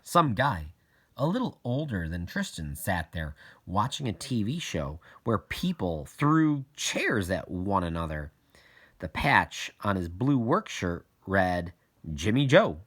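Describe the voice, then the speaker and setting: Guy reading a kids audiobook, really slow, voice goes up and down a lot. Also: low voice, very animated, a bit bright, breathy edge. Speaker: audiobook reader. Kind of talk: expressive scripted narration of a children's novel.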